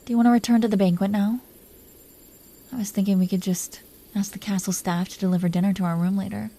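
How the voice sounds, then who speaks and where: A woman voicing a protective, smitten dragon queen in an intimate romantic audio roleplay, speaking softly and breathily with an affectionate, teasing manner, often near a whisper. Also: mid-range voice, steady tone, natural voice. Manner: affectionate, teasing, slow confiding delivery.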